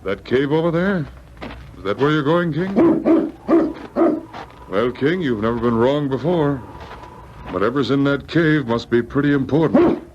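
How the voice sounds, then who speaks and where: An actor playing a steady, observant police sergeant who muses aloud to his dog, in 1940s radio drama style. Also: medium pitch, dull audio, lightly acted. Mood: intrigued. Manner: deliberate, musing aloud. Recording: noisy, band-limited 1940s radio broadcast recording.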